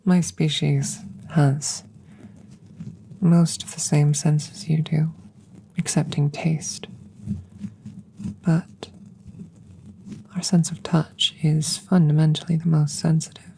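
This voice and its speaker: Woman acting hushed, low-pitched whispering alien scientist. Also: little pitch movement, creaky, slight background noise, heavily acted, low-quality recording. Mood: fascinated, gentle. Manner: measured, halting, formal, whispering. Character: curious, calm, gentle female alien scientist. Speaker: audio roleplay voice performer. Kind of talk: whispered ASMR roleplay. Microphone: close microphone.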